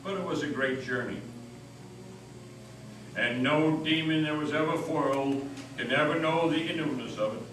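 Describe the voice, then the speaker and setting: A man's voice: on the high side, moderate pace, long pauses, fairly bright tone. A man giving an impassioned, oratorical reading of a scripted dramatic story as narrator. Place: old meeting house, live performance. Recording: very echoey hall, distant mic, faint noise.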